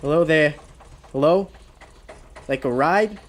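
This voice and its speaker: A man's voice: fairly high pitch, takes it slowly. An actor playing a haunted, desperate young male driver in a radio drama, with an intense delivery that stammers under agitation. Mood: friendly, eager. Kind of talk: radio drama acting with first-person narration. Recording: clearly noisy radio play recording.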